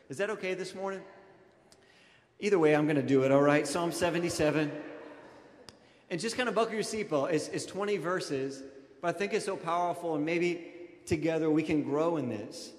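A man in his thirties preaching a conversational sermon as a pastor, informal and animated, addressing his congregation directly into a handheld microphone. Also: on the high side, brisk. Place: large auditorium stage, indoors. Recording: echoey hall with long reverb.

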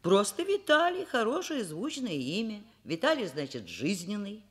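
A middle-aged professor who tells her own story in a Soviet radio play. Her voice is medium in pitch. She is articulate and literary, with a dry, ironic turn to her narration and dialogue. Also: very animated, moderate pace, slightly rough. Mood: amused, lecturing.

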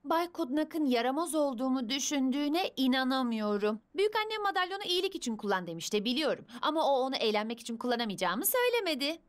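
A woman's voice: high voice, big pitch swings, fast, few pauses, slight background noise. A woman voicing a plucky, adventurous young girl heroine in a cartoon dub. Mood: indignant. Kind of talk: cartoon dubbing.

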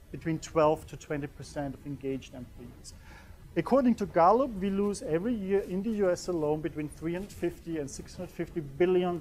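A man's voice: a little high, darkish tone, rough recording. A man in his thirties from Austria with a German accent, a native German speaker, giving a conversational lecture in a small room and often checking in with "yeah?". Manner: conversational, keeps asking "yeah?"; pacing and gesturing while talking. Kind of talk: conference lecture.

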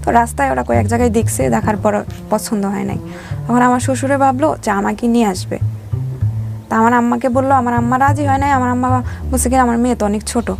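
Creaky-voiced young Bangladeshi woman telling her story. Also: on the high side, steady tone, fast. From Mymensingh, Bangladesh. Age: 20s. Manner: colloquial, plain-spoken. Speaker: interview guest. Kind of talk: personal life story told conversationally. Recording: loud background music under the voice. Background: music.

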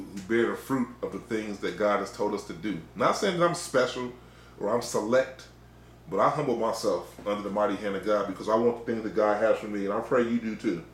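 A man's voice: lowish voice, a little hiss or hum. A middle-aged American man preaching an informal sermon in an exhorting way, repeating phrases for emphasis. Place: small indoor room. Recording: echoey, roomy sound.

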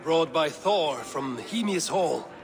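Slow, brooding Norse warrior god. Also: male voice, fairly high pitch, animated, vocal fry, crowd noise, exaggerated voice. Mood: calm, curious. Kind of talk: video game cutscene acting.

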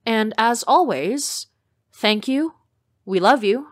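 A woman's voice: on the high side, expressive, takes it slowly, no room sound, studio quality. A woman podcast creator reading a scripted announcement in a friendly, upbeat way, addressing listeners directly.

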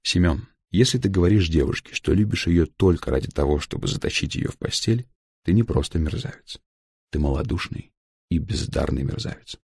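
A man voicing a sharp-tongued old grandmother in an audiobook, blunt and dry, handing out aphorisms. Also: low-pitched, brisk, creaky, husky, dry recording, exaggerated voice. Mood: stern.

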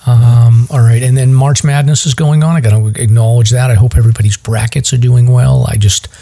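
A man's voice: medium pitch, fairly even intonation, a little fast, vocal fry, mellow. A middle-aged man, hosting a podcast in casual, rambling chat. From Massachusetts. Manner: chatty, rambling with fillers. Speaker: podcast host.

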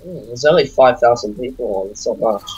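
Excitable young man with a cold. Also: medium pitch, fairly quick, lo-fi sound. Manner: joking, excitable, trash-talking. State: has a cold. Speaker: gaming channel host. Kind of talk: casual gameplay commentary. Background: game music.

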